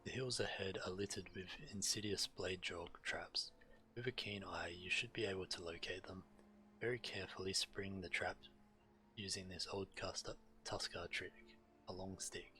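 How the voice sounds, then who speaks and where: A male game streamer with a low, bright voice. He reads quest text aloud as narration and drops into casual commentary as he plays. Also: steady tone, normal speed, faint music behind.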